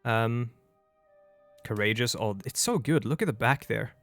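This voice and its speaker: A young Norwegian man talks through a strategy game in English with a Norwegian accent, chatty and meandering, often breaking off to start a sentence again. His voice sits at an ordinary middle pitch. Soft music plays faintly under him. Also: a little slow, dry recording. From Norway. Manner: chatty and rambling, with frequent restarts. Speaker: let's play host. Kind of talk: casual gameplay commentary. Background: faint background music.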